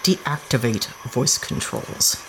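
An actress playing a sharp-tongued young woman lost in an alien forest, sarcastic and exasperated. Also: rumbling low, animated, slow pace, exaggerated voice. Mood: frantic, exasperated. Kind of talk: audio drama acting. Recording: forest ambience noise behind.

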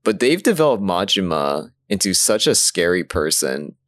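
A man with a low voice chats casually as a podcast co-host. His pitch moves around a great deal as he talks, and the sound is close and dry. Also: sing-song, moderate pace, no echo. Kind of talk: casual anime discussion chat.

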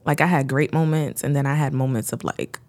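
A woman chats loosely on a podcast, candid and quick to laugh. Her voice is very low for a woman. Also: steady tone, darkish tone. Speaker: guest. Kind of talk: casual chat.

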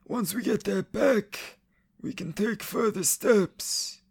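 A man playing a stern, commanding chief of officers in a radio drama, formal and deliberate, with a husky, creaky voice. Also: high voice, voice pushed up, exaggerated voice. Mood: measured, sly.

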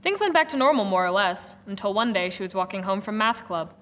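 A woman's voice: medium pitch, expressive, brisk, fairly bright tone, lightly acted. A woman narrating a radio drama in a wry, theatrical storybook manner. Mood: wry, storytelling. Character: wry storyteller narrating a girl inventor's tale.

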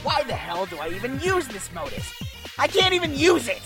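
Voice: sits high, sing-song, normal speed. An actor playing a foul-mouthed, hot-tempered young troll programmer in a fan radio play, ranting with exasperated sarcasm. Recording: music behind the voice.

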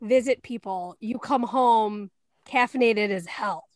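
High-voiced chatty woman. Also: unhurried, no echo, clean studio sound. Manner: chatty, joking, full of "like" fillers. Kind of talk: casual podcast conversation.

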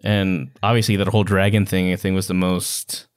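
A man's voice: low voice, level tone, moderate pace, clear, resonant tone. A man co-hosting a podcast, chatting casually and informally about books, sometimes searching for words. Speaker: podcast co-host. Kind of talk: casual book discussion chat.

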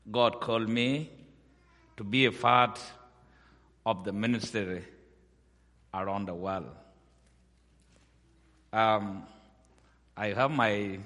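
Slow-speaking man. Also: fairly low pitch, fairly bright tone, long reverb tail. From South Sudan. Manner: slow, halting, simple English. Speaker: missionary. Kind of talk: guest sermon.

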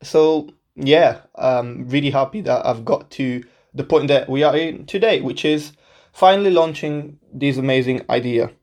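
A man's voice: mid-range voice, a little slow, a little mellow. A man in his twenties from Portugal, a native Portuguese speaker, hosting his own podcast in a casual, upbeat, self-deprecating way. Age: 20s. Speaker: podcast host. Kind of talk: casual solo podcast introduction.

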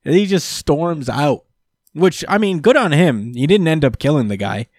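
A man's voice: fairly high pitch, lively intonation, moderate pace, a little mellow, dry recording, studio quality. A man co-hosting a casual podcast, recapping a manga with lots of fillers and acted-out quotes. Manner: hesitant, lots of fillers, retells scenes with quoted lines. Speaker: podcast co-host.

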